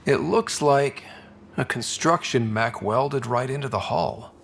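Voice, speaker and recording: Young male explorer lead, sci-fi game. Casual, understated, dry humor, medium pitch. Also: unhurried, creaky, a little hiss or hum. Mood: focused. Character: young male space explorer leader, easygoing, wry. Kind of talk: naturalistic sci-fi game acting.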